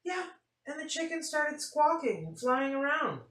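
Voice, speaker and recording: Woman reading as a nonchalant schoolgirl, animated pitch. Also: measured pace, heavily acted. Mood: matter-of-fact, animated. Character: nonchalant schoolgirl recounting an unbelievable farm trip. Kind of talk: picture-book read-aloud. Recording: roomy, faint noise, distant mic.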